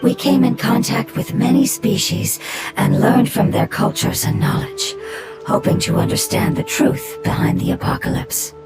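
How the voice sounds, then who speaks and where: A woman voicing an ancient, sorrowful non-human being in a video game, calm, solemn and formal. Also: on the high side, moderate pace, lightly acted. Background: game music.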